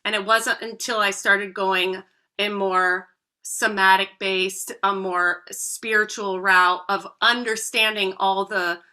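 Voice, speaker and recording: Bright-voiced woman telling her story. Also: mid-range voice, steady tone, slow pace, clear, resonant tone. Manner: earnest, heartfelt storytelling. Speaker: guest, voice coach. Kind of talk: podcast interview.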